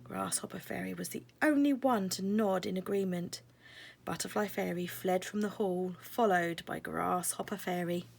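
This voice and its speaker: A woman's voice: fairly low pitch, moderate pace, gravelly. A woman narrating a children's picture book aloud as a scripted story.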